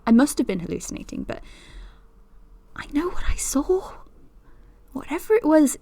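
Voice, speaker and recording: A woman's voice: fairly high pitch, darkish tone, no echo. An actress playing a young woman podcaster who talks in a confiding, nervous, self-deprecating way. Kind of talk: audio drama monologue.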